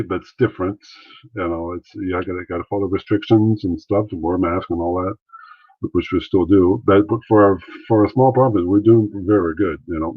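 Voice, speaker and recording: Deep-voiced man on a video call. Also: breathy edge, no echo. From Maritimes, Canada. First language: French. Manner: rambling, many restarts and fillers. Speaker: interview guest, former wrestler and actor. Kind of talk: casual interview talk. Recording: thin phone-like line, dry.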